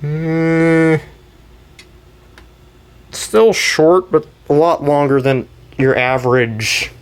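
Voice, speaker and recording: A man hosting a casual show-and-tell, talking in a rambling, halting way and often trailing off mid-thought. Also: a little high, steady tone, smooth. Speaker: video host and weather radio collector.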